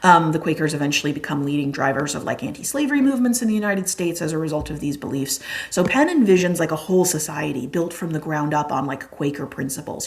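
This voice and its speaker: Low-pitched young woman, chatty teacher giving an exam review, filler-heavy and casual. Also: vocal fry, darkish tone. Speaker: history teacher.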